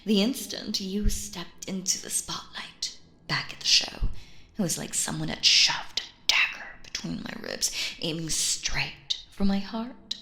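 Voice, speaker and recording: Slow, sinister woman magician played by an actress, echoey. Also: lowish voice, lively intonation, sharp tone, heavily acted. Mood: adoring, obsessive. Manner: cooing endearments, slipping into breathy menacing whispers. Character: sinister, possessive yandere woman stage magician, sweetly menacing. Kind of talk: intimate audio roleplay, theatrical monologue.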